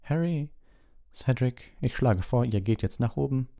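Man voicing a kindly old wizard headmaster, pitched high. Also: expressive, a little slow, gravelly, mellow, no echo, studio quality.

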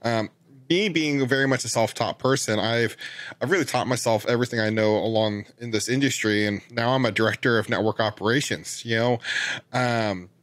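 A man's voice: medium pitch, fairly quick, no room sound. A man in his thirties hosting an interview podcast from a home studio, chatting loosely with lots of "you know" fillers. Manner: many fillers, loose and relaxed. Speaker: podcast host. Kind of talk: casual interview chat. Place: home studio with lights and posters.

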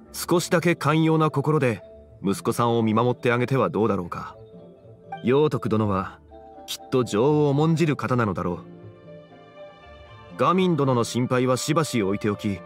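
Calm, wise gentleman, mid-pitched man acting. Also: lightly acted. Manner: formal, archaic, composed. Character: calm, wise, courteous gentleman with old-soul gravity. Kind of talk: Japanese anime game dialogue.

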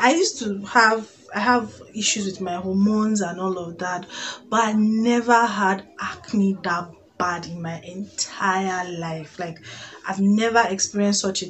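A Nigerian woman in her thirties chatting casually to camera in an expressive, exclamatory storytelling way, recorded on a phone in a room at home. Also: medium pitch, a little hiss or hum. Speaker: vlogger. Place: small indoor room at home.

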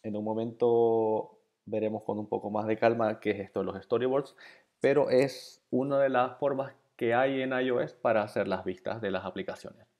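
A man from Bolivia, introducing an online programming course in a relaxed, conversational way. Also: fairly low pitch, normal speed. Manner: relaxed, conversational, with fillers and repeated encouragement. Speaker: course instructor. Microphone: voice-over over slides.

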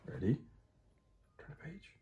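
A man reading a picture book to a small child at bedtime in a gentle, often hushed sing-song, recorded on a phone in a room at home. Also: a little slow, muffled recording. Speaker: parent reading to a toddler. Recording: noisy home phone video with clicks.

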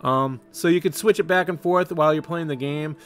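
Male tutorial host, lighter higher register, casual instruction over soft music. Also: a little fast. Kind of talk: casual step-by-step screen tutorial.

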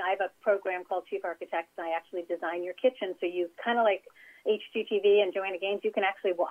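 A woman interior designer giving chatty, practical advice as a guest in an interview. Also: mid-range voice. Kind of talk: casual interview over phone. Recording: tinny phone line.

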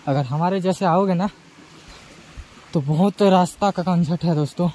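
A man's voice: high-pitched, moderate pace, mellow. Chatty young man vlogging outdoors. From Chhattisgarh. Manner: chatty, addresses viewers as guys. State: tired. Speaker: travel vlogger. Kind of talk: casual vlog narration while riding and hiking. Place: outdoors on rural roads and forest trails.

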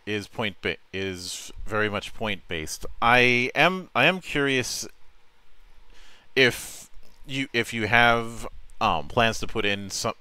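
A man hosting an interview, asking measured, thoughtful questions and restarting his sentences. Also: lowish voice, sharp tone, clean tone, dry recording. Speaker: podcast host. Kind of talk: interview chat.

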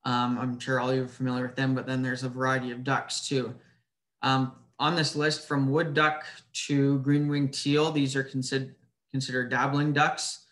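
A man giving an informal slide presentation over a video call, in a somewhat halting, hesitant way. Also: mid-range voice, flat delivery, fairly bright tone. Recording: roomy echo on webinar audio.

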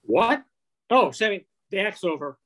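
A man's voice: high-pitched, very animated, a little slow, a bit bright, heavily acted. An older man playing a slangy, exclamatory wisecracking fellow in an amateur old-time radio play. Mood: amused, easygoing. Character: exasperated wisecracking working man caught in hoax. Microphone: computer on video call.

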